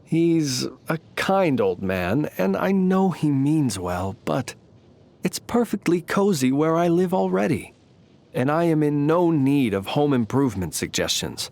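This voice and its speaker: An actor playing a courtly, ancient inhuman gentleman soldier in a video game, speaking in a polite, formal, measured and wryly understated manner. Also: a little high, very animated, gravelly, heavily acted. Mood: courteous, reflective. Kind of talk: scripted game character voice lines.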